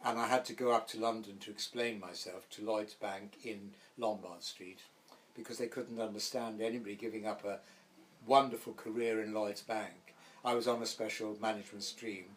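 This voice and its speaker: A man's voice: on the low side, steady tone, normal speed, poor audio. An older man from Wales with a light Welsh accent, reminiscing as a guest in an interview and acting out the voices in his stories. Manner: rambling anecdotal storyteller, acts out quoted voices. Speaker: actor guest.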